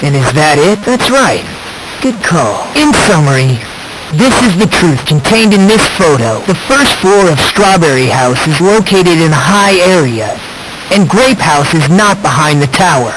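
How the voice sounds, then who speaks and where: Game role: condescending teen boy, lightish high voice, theatrical and measured, eerily courteous; clearly noisy recording. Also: big pitch swings. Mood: smug, explaining. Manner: measured, theatrical, smugly polite. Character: soft-spoken, condescending, eerie teenage boy obsessed with hope. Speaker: video game character voice actor. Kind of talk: scripted game dialogue, mystery exposition.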